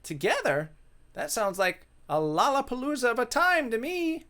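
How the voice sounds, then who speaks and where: A man's voice: extremely high pitch, expressive, normal speed, sharp tone, voice pushed up, exaggerated voice. A man voicing an old-fashioned male ghost, flustered and lovesick, with theatrical old-timey phrasing, for a visual novel. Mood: excited.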